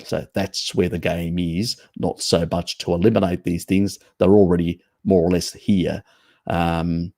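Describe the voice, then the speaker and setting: An Australian man hosts a live-stream chat in a deep, gravelly voice that sounds a little croaky. He rambles through his opinions in a casual, conversational way. The sound is dry and close to the mic. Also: warm tone, no room sound. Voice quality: gravelly, low. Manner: rambling, opinionated, greets viewers by name. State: slightly croaky voice.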